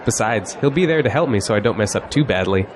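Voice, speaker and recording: A man's voice: on the low side, animated, fast talker, noisy background, natural voice. A man playing a cocky, exuberant young college graduate who is polite to elders, in an audio drama. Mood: confident. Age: young adult.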